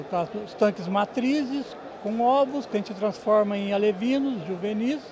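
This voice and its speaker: A man's voice: high voice, noisy background. Middle-aged Brazilian man explaining with restarts. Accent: Brazilian Portuguese. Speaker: fish producer. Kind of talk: interview. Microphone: handheld microphone.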